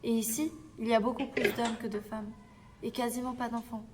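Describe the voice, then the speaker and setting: A female performer playing a gentle, reassuring sixteen-year-old survivor in a French audio drama. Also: a little high, little pitch movement, brisk, very noisy, close to an everyday voice. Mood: hesitant, gentle.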